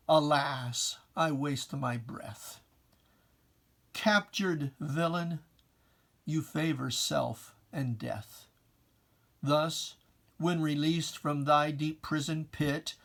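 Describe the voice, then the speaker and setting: Slow, solemn reciter of dramatic verse. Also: medium pitch, fairly bright tone. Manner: solemn, declamatory, emphatic, with archaic diction. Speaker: poetry reciter. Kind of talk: dramatic reading of religious verse.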